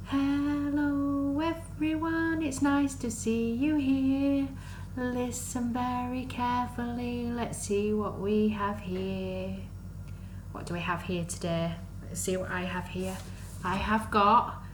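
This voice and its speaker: A woman with a fairly high voice tells a children's story very slowly, with sing-song exaggeration. She speaks as if to a group of under-fives. The recording is echoey, with some light noise behind her. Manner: sing-song, exaggerated, asks the listeners questions. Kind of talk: animated storytime reading to young children. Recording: echoey room, faint background noise.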